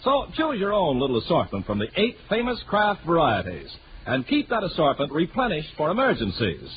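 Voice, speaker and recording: A man's voice: fairly high pitch, huge pitch swings, fairly quick, muffled recording. American man selling stuff on 40s radio, super swoopy intonation, noisy old recording. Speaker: commercial announcer. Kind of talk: 1940s radio advertising read.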